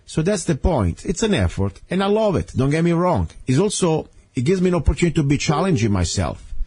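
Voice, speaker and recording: Husky Italian-accented male radio host. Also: on the high side, sing-song, a little fast, a little mellow. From Italy. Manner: rambling, earnest, with many fillers and restarts. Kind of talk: talk radio monologue. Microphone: studio microphone.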